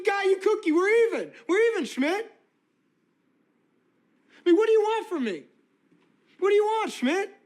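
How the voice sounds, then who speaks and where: Rambling, sentimental sitcom guy. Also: extremely high pitch. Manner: rambling, repetitive, casual. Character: sentimental, defensive, scruffy-mannered man, emotional with his friend. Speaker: actor in a TV sitcom. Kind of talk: sitcom acting.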